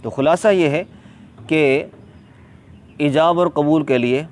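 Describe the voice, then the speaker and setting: A man with a Pakistani accent explaining a religious ruling as an Islamic scholar, deliberate and emphatic, repeating key points. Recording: clear background noise, ambient vehicle rumble.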